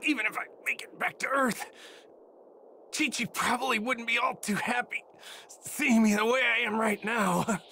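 An actor voicing an earnest, good-natured, plain-spoken martial-arts warrior in an anime game. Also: male voice, very high voice, fairly even intonation, normal speed, pushed and bright, slight background noise, exaggerated voice. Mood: worried. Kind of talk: anime game dub acting.

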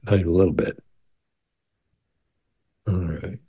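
A man in his sixties with a gravelly voice, chatting casually to camera from his home office while answering viewer questions. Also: low voice, fairly even intonation, measured pace, mellow. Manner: conversational, repeats and restarts, leans into reassurance. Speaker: body language expert.

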